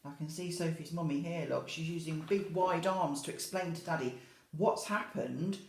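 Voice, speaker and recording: A woman teacher reading a picture book to young children in an expressive way, adding chatty asides and questions. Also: sits low, moderate pace, roomy sound. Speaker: infant school teacher.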